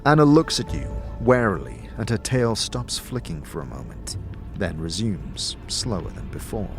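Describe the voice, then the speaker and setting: A man's voice: fairly low pitch, sing-song, moderate pace, over music. A man narrating a fantasy audio drama in a descriptive, dramatic storytelling style with shifting emphasis. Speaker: narrator and game character in an audio series.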